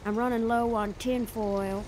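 An actress playing an eccentric, brassy 1930s spirit medium in an audio drama, slangy, excitable and wisecracking. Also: female voice, on the high side, measured pace, background noise, close to an everyday voice. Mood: businesslike. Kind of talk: period audio drama acting.

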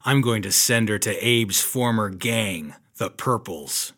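An actor playing a tough, weary but kind 1930s private detective in a noir audio drama, speaking dryly and deliberately. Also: male voice, fairly low pitch, a bit bright, heavily acted. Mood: grim, controlled.

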